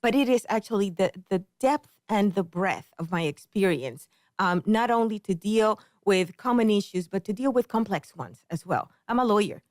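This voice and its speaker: A woman's voice: mid-range voice, lively intonation, normal speed, no echo, studio quality. A middle-aged woman from the Dominican Republic, a candidate explaining her views in an interview, earnest and careful, with many ums. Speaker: political candidate, guest. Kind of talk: interview answers.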